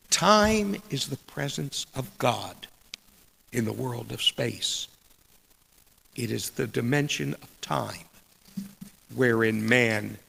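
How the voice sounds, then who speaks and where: A middle-aged man delivering a sermon in a deliberate, measured way with dramatic pauses and a gravelly, husky voice. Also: medium pitch, sharp tone. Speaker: preacher reading a sermon. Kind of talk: sermon with read quotations. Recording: long echoing hall reverb.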